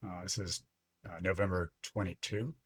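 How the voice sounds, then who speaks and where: A man in his fifties, originally from Lebanon, telling his story as a podcast guest in a reflective, unhurried way. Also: sits low, fairly even intonation, breathy edge, no room sound. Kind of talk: casual interview chat.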